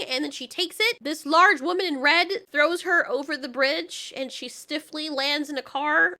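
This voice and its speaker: A woman's voice: high voice, sharp tone. A woman giving a casual, comedic movie recap in an incredulous, exasperated, slangy way. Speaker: online movie reviewer and commentator.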